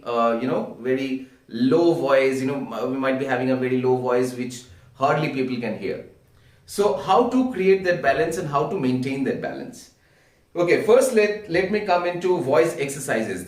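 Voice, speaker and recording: A man with an Indian accent giving an instructional talk as a public speaking coach, earnest and deliberate, repeating phrases for emphasis. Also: on the high side. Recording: echoey room, distant microphone.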